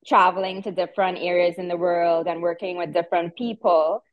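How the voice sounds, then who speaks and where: A woman in her thirties speaks with a strong Trinidadian accent and a slightly low voice. She explains things in an easy, conversational way, often repeating a word as she gathers her thoughts. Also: a little fast, dull audio. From Trinidad and Tobago. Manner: explanatory, relaxed, repeats words while thinking. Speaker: podcast guest. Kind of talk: casual interview chat.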